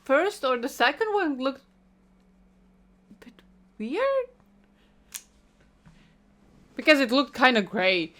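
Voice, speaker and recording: A woman's voice: sits high, big pitch swings, slow, long pauses, fairly bright tone. A woman hosting a reaction video, commenting casually and unscripted, in a hesitant, giggly way.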